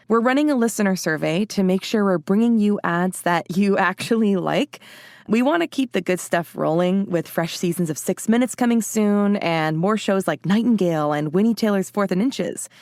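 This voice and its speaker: A woman's voice: mid-range voice, darkish tone, dry recording. Upbeat woman reading a dry-sounding promo. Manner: upbeat, friendly, conversational. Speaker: ad reader for a podcast network. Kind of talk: scripted promotional read.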